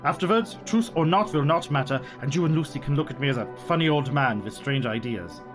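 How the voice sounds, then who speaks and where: A man's voice: a little high, animated, fairly quick, over music, natural voice. A man playing a shrewd, secretive old foreign professor in a radio play, speaking formally with inverted foreign phrasing. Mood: confiding.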